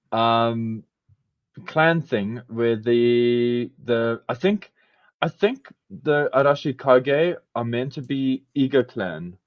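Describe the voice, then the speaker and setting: A man hosting a podcast, talking in a loose, rambling way that keeps circling back on itself. His voice sits at an ordinary pitch. The sound is a little muffled and very dry. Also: no echo. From South Africa. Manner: rambling, self-correcting, laughs often. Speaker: podcast host. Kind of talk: casual podcast chat.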